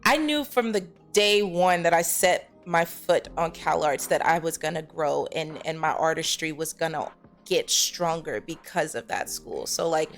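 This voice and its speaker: A woman's voice: low-pitched, animated, measured pace, soft background music. A young adult American woman speaking casually as an actress being interviewed.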